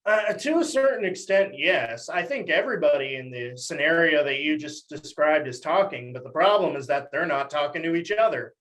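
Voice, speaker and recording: A man's voice: a little high, expressive, moderate pace, few pauses, fairly bright tone, rough recording. A young man from Texas giving articulate, conversational political commentary as an interview guest. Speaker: podcast guest, academic commentator.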